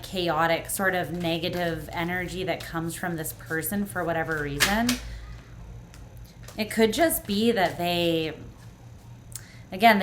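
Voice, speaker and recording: A woman with a fairly low voice gives a tarot reading straight to the viewer. She talks in an earnest, reassuring way, full of "like" and "um". Her voice is going hoarse, so she keeps clearing her throat. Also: a little hiss or hum. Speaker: tarot reader. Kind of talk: pick-a-card tarot reading, speaking to the viewer.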